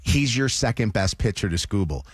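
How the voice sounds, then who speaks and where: A man with a lower voice hosts a morning radio show, talking in an opinionated, chatty way and laughing at his own jokes. The sound is very dry and close. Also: expressive, normal speed, husky, no room sound. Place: radio studio.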